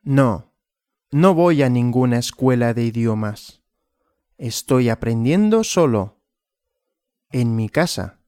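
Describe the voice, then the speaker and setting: A man giving a slow language lesson, asking simple questions and answering them himself in a deliberate, repetitive way. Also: mid-range voice, expressive, mellow, dry recording, studio quality. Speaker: Spanish teacher.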